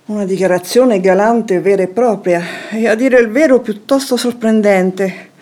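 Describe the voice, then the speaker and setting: A woman's voice: medium pitch, a little mellow, lightly acted. Composed, tactful noblewoman, acted by a woman. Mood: composed, ironic. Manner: measured, formal, composed. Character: poised, discreet noble wife, coolly tactful. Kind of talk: theatrical radio drama acting.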